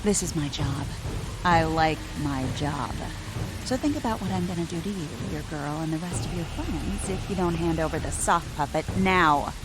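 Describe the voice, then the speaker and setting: An actress playing a sadistic hired killer in a video game, mocking, theatrical and menacing. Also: female voice, sits low, moderate pace, background noise, lightly acted. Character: sadistic, taunting female hired killer who relishes her job. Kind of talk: dramatic video game voice acting. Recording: loud music behind.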